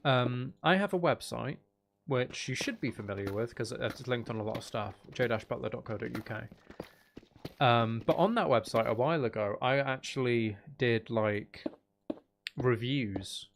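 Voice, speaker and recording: A man's voice: mid-range voice, normal speed, creaky, slight background noise. A man streaming a game, chatting casually in a rambling, hesitant way as he thinks aloud. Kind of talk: casual chatty gaming livestream commentary.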